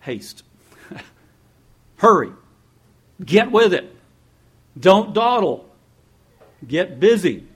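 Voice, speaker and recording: A man preaching a sermon in a lively, conversational way, often laughing and acting out what people might say. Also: high-pitched, drawn-out words, long pauses, husky. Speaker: pastor.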